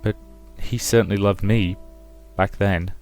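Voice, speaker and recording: A man voicing a stern, bitter, vengeful mother in a visual novel, commanding and deliberate. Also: lowish voice, steady tone, a little mellow, soft background music.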